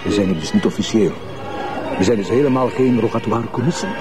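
An actor playing a fussy, anxious, nagging Flemish police sidekick in a television crime drama. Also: male voice, lowish voice, fast, close to an everyday voice. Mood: worried. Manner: nagging, disapproving. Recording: music over outdoor street sound.

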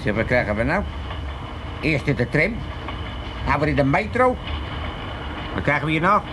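An actor playing a cranky, cheeky old Amsterdam father in a comic radio drama, with a husky voice. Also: fairly high pitch, sing-song, moderate pace, noisy background. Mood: cheeky grumbling.

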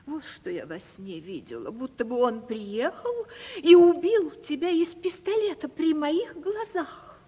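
An actress playing a coquettish, capricious aging landowner lady in a radio play, with affected, drawn-out theatrical delivery. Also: high-pitched, very animated, mellow. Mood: fretful, confiding. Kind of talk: theatrical 1970s Russian radio play acting. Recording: stage-like reverberant archival radio drama recording.

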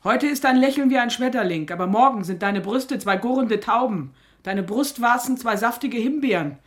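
A woman's voice: a little high, animated, normal speed, natural voice. An actress playing a suspicious, scolding middle-aged mother with an earthy, sarcastic manner in a radio drama. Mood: exasperated. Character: stern, sharp-tongued, suspicious mother of a young woman.

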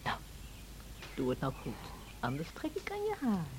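A woman's voice: deep voice, wildly swooping pitch. An actress playing a spirited, proud yet tender young lady in a radio play, speaking emphatically, on a hissy old recording. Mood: playful scolding. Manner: emotional, emphatic, halting.

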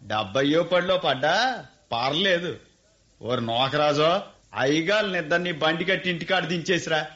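A man's voice: a little high, moderate pace, sharp tone. An actor playing a shrewd, boastful rural landlord who mocks his visitors and orders his servant around. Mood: mocking. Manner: mocking, boastful. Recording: dull lo-fi radio recording.

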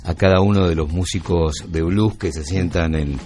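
Low-voiced Argentine man hosting radio. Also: darkish tone. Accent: Argentine, Buenos Aires. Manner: reflective, long-winded questions with pauses and repeats. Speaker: radio show host interviewing musicians. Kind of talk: conversational radio interview.

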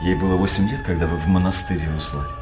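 Low-voiced sly court jester, played by a man over music. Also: steady tone, very fast, lightly acted. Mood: flattering. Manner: wry, declamatory. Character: sly court jester, flattering, wry, mock-pious confidant. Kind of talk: theatrical radio drama acting in verse.

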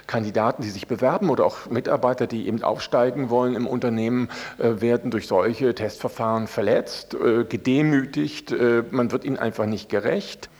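Male interviewee, mid-pitched, unscripted and halting as he argues his view. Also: darkish tone. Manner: halting, searching for words. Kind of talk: spontaneous spoken opinion in interview.

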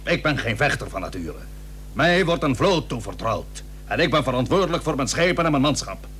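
Quick-tempered, cautious naval commander. Archaic, emphatic radio-play delivery, lively intonation, slightly noisy old recording. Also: male voice, medium pitch. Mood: sober, stubborn. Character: stern, proud Dutch admiral, cautious seaman, quick to anger. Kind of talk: historical radio play acting.

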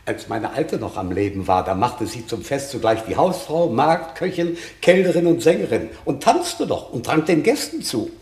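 A man's voice: mid-range voice, sing-song, normal speed, hardly any pauses, darkish tone. An actor playing a fussy, hearty old shepherd father in a classical German radio drama. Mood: scolding.

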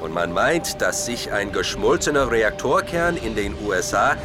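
An actor playing a serious field correspondent in a radio drama, giving a live report in an earnest, factual, explanatory way. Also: male voice, lowish voice, expressive, moderate pace, over music, close to an everyday voice. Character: serious male field correspondent reporting a monster nuclear threat.

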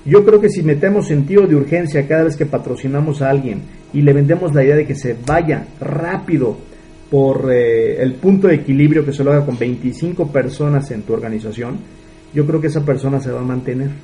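Mexican man in his 30s, motivational mentor, mellow warm tone, slightly high voice, faint hiss behind. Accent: Mexican Spanish. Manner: earnest, coaching, asks rhetorical questions. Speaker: business mentor. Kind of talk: motivational talk.

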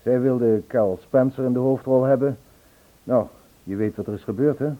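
An actor playing an earnest, self-absorbed, worldly middle-aged composer in a radio drama, on a muffled, tinny old recording. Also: male voice, mid-range voice, normal speed, natural voice. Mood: earnest, indignant.

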